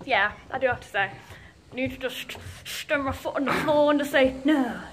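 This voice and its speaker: A woman's voice: high voice, moderate pace. A teenage girl chatting with a friend in a school corridor, giggly and playful. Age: teens. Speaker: friend of the vlogger. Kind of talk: casual chat. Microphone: friend's phone held in hand. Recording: noisy phone audio.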